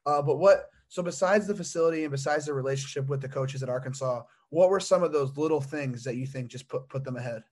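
A man's voice: mid-range voice, quick pace, hardly any pauses, a little mellow. A young man hosting a sports podcast, asking long, rambling questions full of fillers and restarts. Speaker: podcast host. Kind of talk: casual sports interview.

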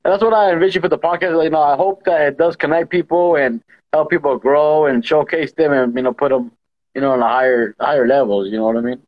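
A man from California co-hosting a podcast, chatting casually and agreeably with quick short replies. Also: fairly high pitch, dull audio. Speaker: podcast co-host. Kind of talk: casual chat.

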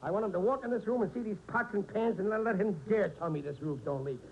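Blustering, high-voiced 1940s sitcom dad. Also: male voice, quick pace, creaky. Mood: indignant, blustering. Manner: loud, bossy, complaining. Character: blustering, exasperated working-class husband and father, middle-aged. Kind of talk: 1940s radio sitcom acting. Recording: dull, noisy old 1940s radio recording.

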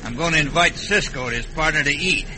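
A man's voice: fast, natural voice. A man playing a scheming, villainous boat skipper with an American accent and folksy slang, in classic radio western style. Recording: noisy old radio recording with rumble.

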